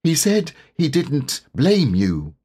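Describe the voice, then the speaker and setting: Man putting on a high, nervous little piglet. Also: huge pitch swings, takes it slowly, mellow, breathy edge, exaggerated voice. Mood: timid. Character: small anxious timid piglet, easily frightened.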